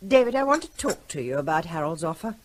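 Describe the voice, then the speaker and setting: An actress playing a brisk, pushy middle-aged wife in a radio drama. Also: female voice, on the low side, big pitch swings. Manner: brisk, nagging.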